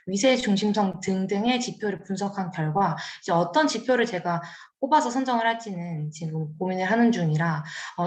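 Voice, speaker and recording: A woman's voice: medium pitch, echoey room. A young woman presenting her graduate research at a lab seminar, explaining carefully with fillers and self-corrections.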